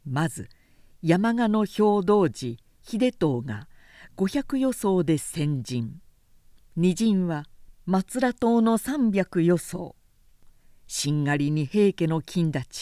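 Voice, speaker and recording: A woman recites a classic war tale as narrator. The reading is measured and theatrical, slow in pace with very expressive pitch, in a dry, close recording. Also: dry recording. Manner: measured, theatrical, carefully phrased.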